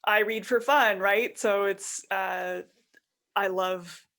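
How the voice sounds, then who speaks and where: A woman chatting casually as an expert podcast guest, animated and given to voicing imagined quotes. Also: medium pitch, slow. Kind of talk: casual interview chat.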